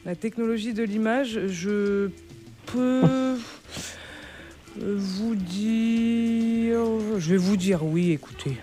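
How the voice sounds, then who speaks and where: A woman's voice: medium pitch, slow, music in the background. A woman hosting a live radio phone-in game, chatting casually with hesitant fillers and frequent laughter. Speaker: radio game show host.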